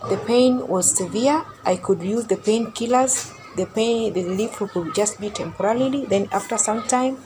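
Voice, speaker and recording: A woman's voice: medium pitch, normal speed, few pauses. A woman from Tanzania giving an earnest, prayerful testimony about her healing. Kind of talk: personal testimony. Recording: background noise.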